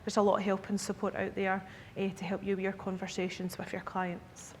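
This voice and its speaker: A woman's voice: completely flat delivery, fairly quick. Scottish woman in her 30s doing a conference talk, chatty, keeps saying okay, big echoey hall. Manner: chatty, checks in with the audience with "okay?". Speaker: key account manager presenting to advisers. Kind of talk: conference presentation. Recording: echoey hall with faint rumble.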